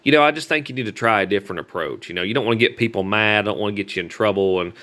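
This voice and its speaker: A man with a Southern American accent talking informally about his own experience in a halting, repetitive, earnest way with folksy grammar. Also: lowish voice, pushed and bright. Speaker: street preacher. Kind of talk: informal personal vlog talk.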